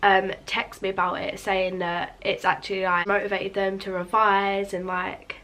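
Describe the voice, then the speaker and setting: A woman's voice: medium pitch, little pitch movement, normal speed, fairly bright tone. A teenage girl chatting casually while doing her makeup, rambling with lots of "like" and still sounding sleepy from just waking up. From England. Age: teens. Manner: chatty, rambling, filler-heavy with frequent "like". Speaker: beauty vlogger. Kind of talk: casual get-ready-with-me chat.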